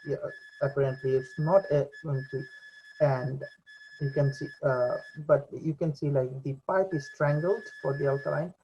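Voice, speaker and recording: Young man, mellow voice, halting demo narration. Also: on the high side, fairly even intonation, slight background noise. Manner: hesitant, halting delivery. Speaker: presenter demonstrating a VR training simulation. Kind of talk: unscripted screen demo voice-over. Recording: dull lo-fi computer mic recording.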